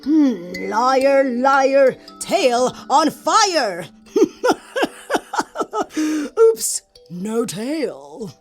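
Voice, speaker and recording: A woman with a South African accent playing a stern, teasing god in children's audio theatre, lecturing deliberately with musing hums. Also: high voice, very animated, faint music behind, heavily acted. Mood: mocking. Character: stern, teasing, all-knowing god of the mountain.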